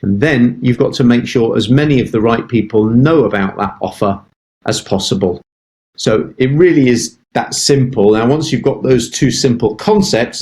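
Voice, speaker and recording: A middle-aged man explaining sales in an interview, with firm emphasis and a habit of doubling words to drive a point home. His pitch sits in a plain middle range. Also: a little mellow. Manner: emphatic, repeats words for stress. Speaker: sales expert guest. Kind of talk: interview answers, advice.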